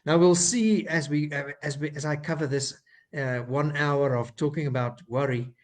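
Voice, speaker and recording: A man with an Afrikaans South African accent, a clinical psychologist giving a faith-based talk in his second language, pausing often with fillers and restarts. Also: fairly high pitch. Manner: hesitant, many fillers and restarts.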